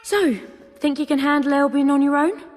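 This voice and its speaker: An actress playing a young, blunt and earnest female warrior monk in a fantasy game, plain-spoken and husky. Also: sits high, normal speed, natural voice. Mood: wistful. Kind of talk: dramatic fantasy game acting. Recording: long reverb, music behind.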